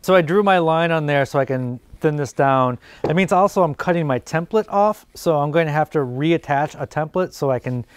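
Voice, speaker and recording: Workshop host, forties, man; slightly high voice, laid-back, thinks out loud. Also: moderate pace, hardly any pauses, smooth. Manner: relaxed, thinking aloud while working. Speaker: woodworking channel host. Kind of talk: casual workshop how-to narration. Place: indoor home workshop.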